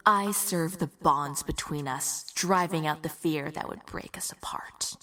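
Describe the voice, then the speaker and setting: A woman playing a devout, earnest warrior with a breathy, husky voice and solemn delivery in an audio drama. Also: deep voice, measured pace, fairly bright tone. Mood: solemn, pleading. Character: devout, earnest female warrior facing condemnation.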